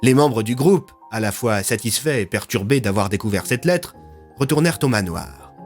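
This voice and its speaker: A man's voice: medium pitch, expressive, normal speed. A man narrating scripted, dramatic audio fiction as a storyteller, with music underneath.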